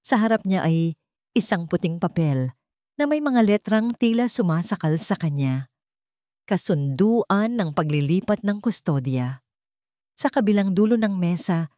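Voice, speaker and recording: Female storyteller for dramatic fiction, soft dark timbre, lower register, close dry recording. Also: animated, a little slow, dry recording. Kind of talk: dramatic scripted narration.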